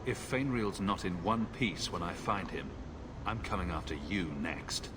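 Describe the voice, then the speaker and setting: An actor playing a blunt, stern hero in a fantasy video game, speaking in terse, direct lines. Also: male voice, sits low, lively intonation, measured pace, noisy background. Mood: threatening. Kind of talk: video game dialogue acting.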